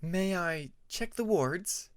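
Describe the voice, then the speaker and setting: A man voicing a young female dragon who explains things calmly and patiently in a measured, earnest way. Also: sits high, voice pushed up. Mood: soft, concerned.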